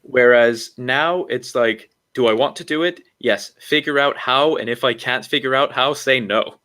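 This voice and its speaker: A man from Iceland talking as an interview guest, relaxed and rambling with frequent ums and self-corrections. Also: medium pitch. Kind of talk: casual interview talk.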